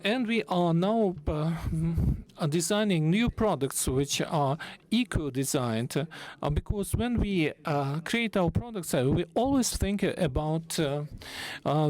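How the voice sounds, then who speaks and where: A man giving a live interpretation of a talk, in halting, piecemeal phrases. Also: a little high, darkish tone. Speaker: conference interpreter.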